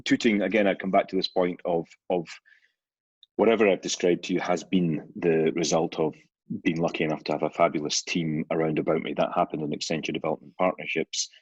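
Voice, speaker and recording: Scottish man, middle-aged, very deep husky voice, halting wry guest, muffled dry audio. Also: steady tone, no room sound. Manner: hesitant, many restarts and fillers, wry humor. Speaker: guest author. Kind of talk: casual interview chat.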